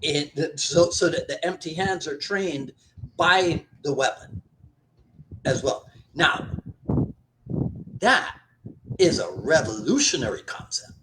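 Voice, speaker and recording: A man's voice: a little high, lively intonation, slow, long pauses, background noise. A man from the Caribbean, a martial arts instructor talking in a casual discussion, thoughtful and full of restarts. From Trinidad, raised in Barbados. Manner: thoughtful, restarts often, stresses key points.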